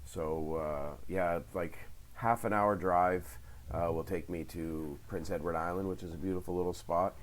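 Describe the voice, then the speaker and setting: Deep-voiced man, hesitant. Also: steady tone, normal speed, few pauses. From Canada's Maritime provinces. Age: 30s. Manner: rambling, hesitant, many ums and restarts. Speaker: interview guest. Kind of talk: casual remote interview. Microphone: headset on a call.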